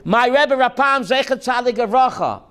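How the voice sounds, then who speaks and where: A rabbi teaching a class, reading text slowly and then explaining it in while quizzing his students. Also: extremely high pitch, lively intonation, fairly bright tone, clear, resonant tone. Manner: reads Hebrew text aloud slowly, then explains in English, quizzing listeners.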